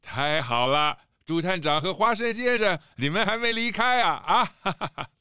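A man's voice: high-pitched, expressive, normal speed, noisy background. A man playing a jovial, hospitable panda school principal in a children's audio drama, effusive and full of exclamations. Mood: jovial, excited.

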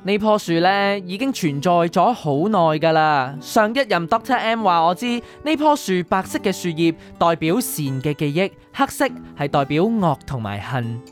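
A woman's voice: lowish voice, animated, moderate pace, few pauses, soft background music, close to an everyday voice. A woman playing a composed, enigmatic keeper of memories in the afterlife, in a radio drama. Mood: composed, explaining. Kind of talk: Cantonese radio drama acting.

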